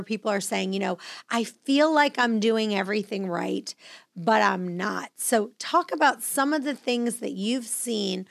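A woman hosts a podcast interview in a casual, effusive way. Her mid-pitched voice has a clear creak to it, and the sound is dry with very little room. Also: dry recording. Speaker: podcast host.

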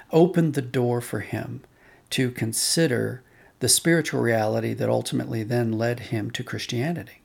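A man's voice: medium pitch, gravelly. A man speaking as an interview guest, explaining things thoughtfully and building his points step by step. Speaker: podcast guest, audio drama writer and director.

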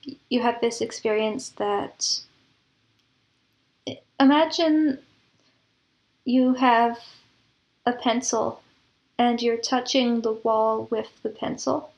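A woman's voice: on the high side, fairly even intonation, slow, vocal fry, pauses often, darkish tone, poor audio. A woman being interviewed, explaining things reflectively with examples and analogies. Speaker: interview guest, composer and audio drama producer.

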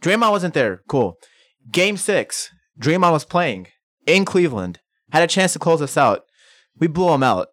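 Brief: man guest from New York, fairly high voice, dry close mic, animated sports storyteller. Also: measured pace, no echo. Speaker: podcast guest. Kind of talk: casual sports chat.

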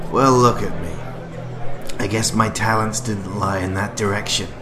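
An actor playing a timid, tipsy failed comedian in an audio drama, hesitant, self-pitying and rambling. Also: male voice, lowish voice, fairly even intonation, slow pace, music in the background. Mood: anxious, self-pitying.

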